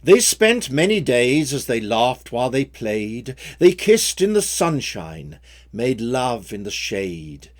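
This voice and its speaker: A man reciting his own rhymed story poems in a measured, sing-song metrical way. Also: medium pitch, very animated. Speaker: poet reading own poems.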